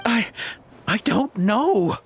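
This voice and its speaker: A man's voice: sits high, lively intonation, extremely slow, slight background noise, heavily acted. A man voicing a nervous captured troublemaker in a video game, stammering and hesitant.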